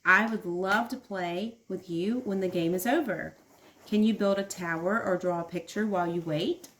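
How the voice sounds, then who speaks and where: A woman plays a kind storybook kangaroo father. He reasons patiently with his little one, in a gentle, consoling manner. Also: fairly low pitch, moderate pace, slight background noise, close to an everyday voice. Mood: apologetic. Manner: soothing, reasoning, apologetic.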